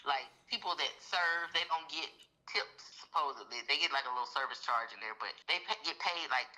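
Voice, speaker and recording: Woman, very sharp bright voice, tinny phone line, casual chat, candid, emphatic. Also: deep voice, a little fast. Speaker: homeowner selling a house. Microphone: phone call.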